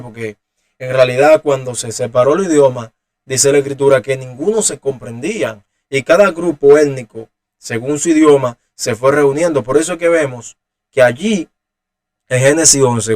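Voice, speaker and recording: Dominican guy preaching a bible study, slow and explanatory, lots of eh's, mid voice. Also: medium pitch, a little mellow. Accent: Dominican Spanish. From Dominican Republic. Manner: unhurried, explanatory, with frequent "eh" fillers. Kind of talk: Bible teaching.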